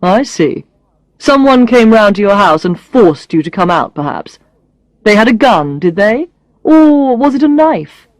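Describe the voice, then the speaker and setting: A self-assured male boss in an audiobook story, voiced by a woman, speaks with dry mockery and easy command. The delivery is lively and teasing, recorded close with very little room. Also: mid-range voice, moderate pace, few pauses, no echo, natural voice. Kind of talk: audiobook character voice.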